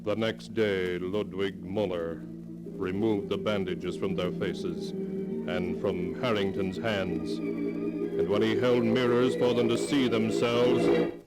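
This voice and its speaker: A male actor as an ominous storyteller narrating a 1940s radio mystery in a measured, dramatic way. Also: fairly low pitch, little pitch movement, low-quality recording. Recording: vintage radio recording, loud music behind.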